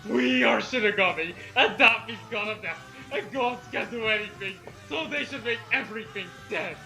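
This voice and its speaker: A man's voice: high voice, normal speed, vocal fry, bright, forceful tone, rough recording. A man bantering casually as a co-reader of a comic story. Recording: music behind the voice. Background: background music.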